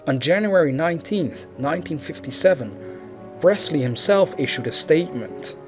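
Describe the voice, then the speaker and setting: A man's voice: fairly high pitch, animated, moderate pace. A man reading a scripted true crime narration as the narrator of a video. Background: background music.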